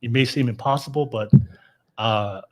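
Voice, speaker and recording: A man's voice: medium pitch, normal speed. A man hosting a casual live podcast, upbeat, laughing often and restarting his sentences. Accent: Californian. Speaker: podcast host.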